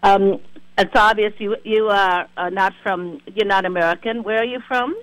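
High-voiced effusive male co-host. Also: fairly even intonation, normal speed, a bit bright, no echo, low-quality recording. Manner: effusive, emotional, lots of affirming hums. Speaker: radio co-host. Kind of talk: interview conversation.

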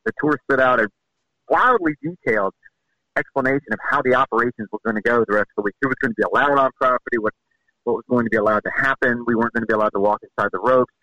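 A man reports from the scene in a quick, bright, pressing voice, laying things out step by step. The sound is dry and close. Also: medium pitch, no room sound, poor audio. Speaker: golf reporter on site. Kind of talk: rapid on-air report.

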